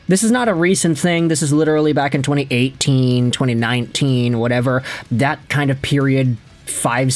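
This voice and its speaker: Chatty young man, hockey talk. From Philippines. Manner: chatty, conversational, with lively jumps in pitch. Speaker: hockey channel host. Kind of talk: casual solo commentary on hockey standings.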